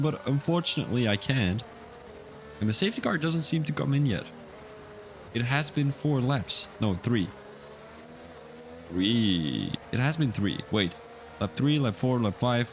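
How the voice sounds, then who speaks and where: A young man giving casual livestream commentary on a sim racing league, chatting loosely and then getting excited when calling incidents. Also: fairly high pitch, slight background noise. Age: young adult.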